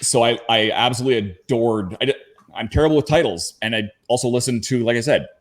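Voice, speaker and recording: A man's voice: mid-range voice, fast. A man from Canada speaking as a podcast panelist, telling personal anecdotes in a casual, conversational way.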